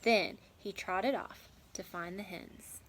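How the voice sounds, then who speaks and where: A woman's voice: mid-range voice, fairly even intonation, slow, fairly bright tone. A woman teacher reading aloud and discussing with children in an instructive, questioning way, with some background noise. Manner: instructive, question-and-answer, checking understanding.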